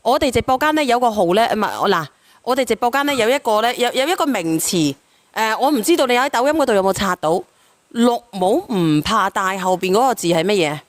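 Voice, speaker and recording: A woman hosts a casual live call-in in speech. Her voice sits fairly high and close, with little room sound. She is blunt and animated as she questions callers. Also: fairly quick, dry recording. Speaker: live-stream host of a relationship call-in show.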